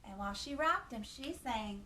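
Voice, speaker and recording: A woman hosting storytime for young children, reading a picture book aloud in an expressive, gasping manner and putting questions to her little listeners. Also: fairly high pitch, normal speed. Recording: echoey room with faint background noise.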